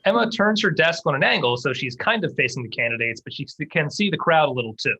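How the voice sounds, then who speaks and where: A man's voice: a little high, lively intonation, fast, pushed and bright, breathy edge. A man narrating stage directions from a screenplay as scripted narration.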